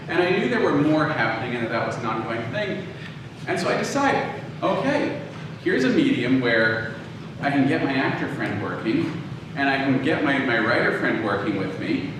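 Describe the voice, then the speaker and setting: A man giving an informal lecture in a conversational, emphatic way, telling a personal story and checking in with his listeners. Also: mid-range voice. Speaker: audio drama producer giving a lecture. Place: live talk in a reverberant room. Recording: echoey room from far off, clear noise.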